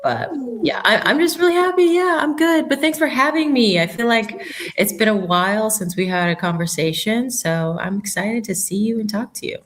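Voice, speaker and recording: A woman's voice: fairly high pitch, normal speed, fairly bright tone. An American woman, a young adult, chatting upbeat as an interview guest, laughing often. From United States. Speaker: hockey guest and former player. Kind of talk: casual interview chat.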